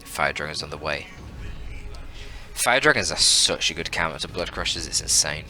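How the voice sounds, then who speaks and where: A man commentating on a strategy game match as a shoutcaster, explaining tactics analytically as he goes. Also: extremely low pitch, expressive, normal speed, soft background music. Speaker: esports shoutcaster.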